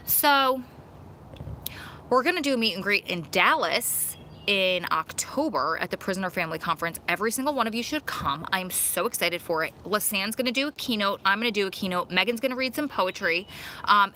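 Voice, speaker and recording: A woman in her thirties with a thick New York–New Jersey accent, chatting through a live stream. Her voice is bright and forward. She laughs easily and pokes fun at herself between comments. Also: mid-range voice, a little hiss or hum. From Long Island, New York. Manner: chatty, laughs often, self-mocking. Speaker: vlogger hosting a live Q&A. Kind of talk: casual live-stream chat reading comments.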